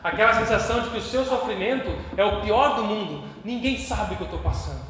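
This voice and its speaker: A Brazilian man preaching a sermon with passion, moving between hushed and loud. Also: high-pitched, fairly quick, a little hiss or hum. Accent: Brazilian Portuguese. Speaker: pastor. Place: church hall. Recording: echoey church hall with long reverb.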